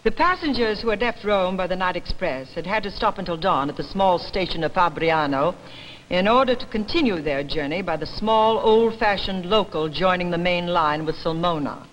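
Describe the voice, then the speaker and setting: Theatrical woman reading a story, noisy recording. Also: on the low side. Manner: theatrical, deliberate, expressive. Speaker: actress giving a staged reading. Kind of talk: dramatic literary story reading.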